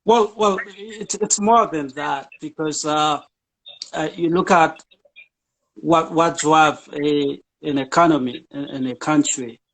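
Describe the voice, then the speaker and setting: A man talks as an interview guest. His voice sits a little high for a man. He pauses often, filling gaps with "uh" and restarting his sentences. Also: a bit bright, rough recording. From Haiti. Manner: hesitant, many fillers and restarts. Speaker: guest, charity founder. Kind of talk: casual interview talk.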